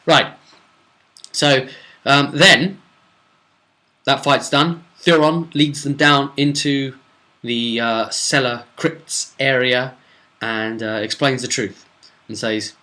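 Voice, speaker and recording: A man's voice: medium pitch, steady tone, slow, pauses often, sharp tone. A man hosting as a game master, casually recapping a tabletop session in a discursive way with loosely strung asides. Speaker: game master and video host.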